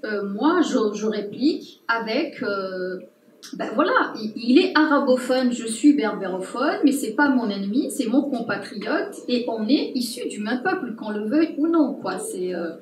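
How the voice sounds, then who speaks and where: A woman anthropologist speaking at a public talk, pausing often with fillers and restarts. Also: on the high side, expressive, slight background noise. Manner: hesitant, many fillers and restarts. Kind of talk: unscripted talk to an audience. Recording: roomy distant mic with faint ambience.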